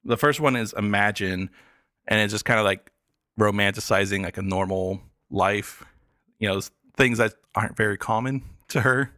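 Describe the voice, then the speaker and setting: A man chatting casually about the arts on a podcast, explaining things in a halting way with lots of filler words. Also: on the low side, pushed and bright, no room sound, clean studio sound. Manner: hesitant, filler-heavy explaining. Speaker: podcast guest reviewing pop albums. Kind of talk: casual arts talk chat.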